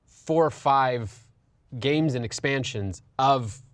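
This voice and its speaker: A man's voice: mid-range voice, slow, dry recording, clean studio sound. A man talking as a panelist in an opinionated casual discussion, making his points emphatically in repeated lists. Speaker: talk show panelist.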